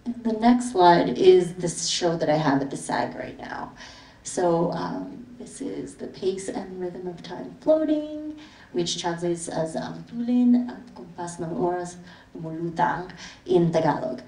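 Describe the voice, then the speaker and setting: A woman with a low voice talks a gathered audience through her paintings, easy and rambling. She grew up in the Philippines. The recording is roomy and picked up from across the space. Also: unhurried. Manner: relaxed, meandering, many ums and likes. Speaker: artist presenting her work. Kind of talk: casual gallery talk with slides. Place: gallery event space with audience. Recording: echoey hall from far off.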